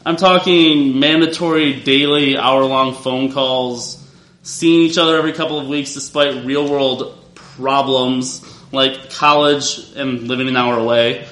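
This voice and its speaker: Loud, wry man telling a story in an echoey room. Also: mid-range voice, steady tone, a little slow. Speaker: storyteller at a live event. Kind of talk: personal storytelling to a live audience. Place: room with a live audience. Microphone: not using the microphone. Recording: roomy live venue sound.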